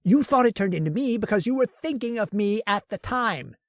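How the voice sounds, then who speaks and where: A woman's voice: medium pitch, lively intonation, a little fast, no echo, lightly acted. A woman playing an obsessive, arrogant male scientist who bosses his assistant around and sneers at him. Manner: bossy and domineering, gloats and sneers. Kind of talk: old-time radio horror acting.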